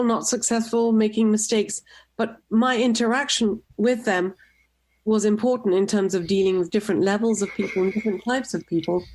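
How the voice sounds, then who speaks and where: A middle-aged woman from Tunisia answers interview questions on a talk radio show. Her voice sits in the middle range, and she speaks in short, measured, composed replies. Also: medium pitch, darkish tone. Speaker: guest, nonprofit executive director.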